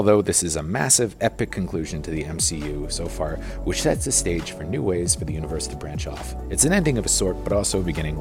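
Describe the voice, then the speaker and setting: A man with a deep voice narrates a scripted film review as a critic. His low delivery runs steadily over clearly audible background music. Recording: music bed under the voice.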